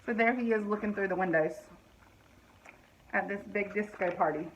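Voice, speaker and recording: A woman reading a picture book aloud to children, pausing to explain the story and ask questions. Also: medium pitch, slight background noise, rough recording. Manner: explanatory, asks listeners questions.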